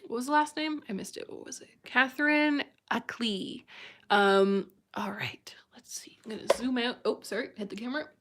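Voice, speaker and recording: Young woman streamer, gushy and enthusiastic, bright edge, a little high. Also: moderate pace, a little hiss or hum. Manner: effusive and enthusiastic, gushing over what she reads. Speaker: livestream host. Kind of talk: live-streamed chat reading viewer submissions.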